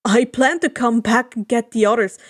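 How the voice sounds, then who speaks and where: A woman's voice: fairly high pitch, normal speed, no echo, clean studio sound, lightly acted. Woman as an urgent, insistent sailor second-in-command. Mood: shaken, urgent. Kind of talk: fan fiction character reading.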